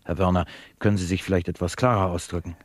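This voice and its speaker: A man's voice: deep voice, level tone, fast, no room sound, clean studio sound. An actor playing a courteous, slick male talk show host in a satirical radio play, formal and probing. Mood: polite, probing.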